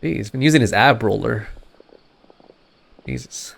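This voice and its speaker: A man's voice: mid-range voice, lively intonation, unhurried, gravelly. A man doing casual, slangy, joking reaction commentary as he streams a game. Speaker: gaming streamer. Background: game music.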